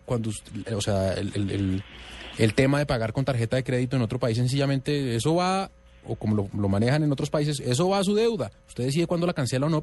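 Chatty radio host. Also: male voice, medium pitch, darkish tone, slight background noise. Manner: chatty, hesitant, repeating words. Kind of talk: talk radio discussion.

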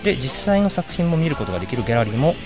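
A man's voice: mid-range voice, very animated, rapid-fire, over music. A man who is the show's writer and co-host, politely explaining the location while standing outside on a street. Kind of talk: casual chat on location. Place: outdoors on a street. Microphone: handheld mic held out by a staffer.

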